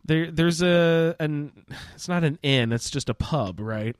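A man's voice: fairly high pitch, unhurried, clean tone, no echo, clean studio sound. A man hosting a podcast, chatting casually in a roundtable about a video game. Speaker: podcast host.

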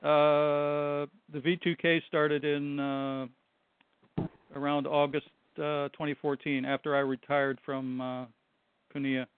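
Older man, hesitant guest, flat even voice, smooth and crisp, dry tinny phone line. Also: a little high, no echo. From Buffalo, New York. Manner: halting, soft-spoken, short answers. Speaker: guest, retired Army translator. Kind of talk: call-in interview.

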